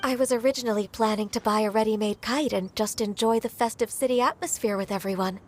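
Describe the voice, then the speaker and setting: A gentle, polite young woman official in a fantasy game, voiced by a woman. She talks softly and a little hesitantly, in a fairly high voice. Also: fairly even intonation, quick pace, natural voice. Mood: earnest. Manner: soft-spoken, hesitant and polite.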